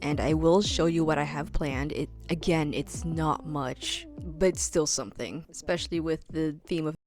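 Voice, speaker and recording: A young woman chatting casually to her camera at home, talking quickly and stumbling over her words. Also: deep voice, darkish tone, soft background music. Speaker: vlogger. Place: at home, indoors.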